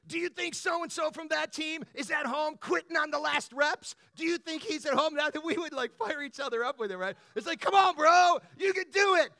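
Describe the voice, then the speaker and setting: Guy pastor preaching, super animated up and down delivery, talks like your bro, dry close sound. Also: sits high, gravelly, no room sound. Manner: animated, colloquial, punctuates points with "right?" and "bro". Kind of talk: conversational, motivational sermon.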